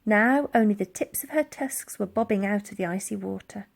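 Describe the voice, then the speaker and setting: A woman reading a children's story aloud in an expressive, dramatic storytelling style. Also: mid-range voice, moderate pace, creaky, slight background noise. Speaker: audiobook reader.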